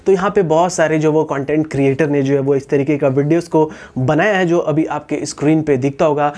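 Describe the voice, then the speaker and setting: A man's voice: a little high, warm tone. A man in his twenties with an Indian accent, explaining things directly to his viewers as a YouTube host. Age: 20s. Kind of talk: explanatory talk to camera. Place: indoors, small room against a wall. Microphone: lapel mic.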